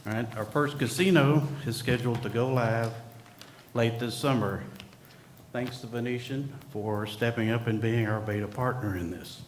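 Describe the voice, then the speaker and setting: A man presenting at a conference podium, relaxed and folksy. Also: mid-range voice, normal speed, slight background noise. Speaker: company executive presenting at a conference. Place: conference hall. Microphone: podium microphone. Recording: echoey conference hall.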